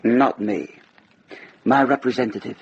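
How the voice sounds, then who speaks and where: An actor playing a wry, familiar friend with dry humour in a period radio drama. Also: male voice, mid-range voice, a little slow, creaky. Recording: muffled radio drama, loud background ambience.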